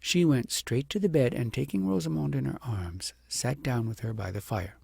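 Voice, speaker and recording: A man with a gravelly, husky voice narrating a storybook in a measured, deliberate and expressive way. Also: medium pitch, dry recording, studio quality. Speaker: audiobook narrator.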